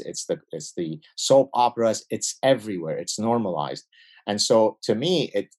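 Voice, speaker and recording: A man's voice: sits low, darkish tone. A man who grew up in Lebanon with Arabic as his first language, talking as a guest in a podcast interview and making his points in emphatic lists with frequent restarts. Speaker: guest, behavioral scientist.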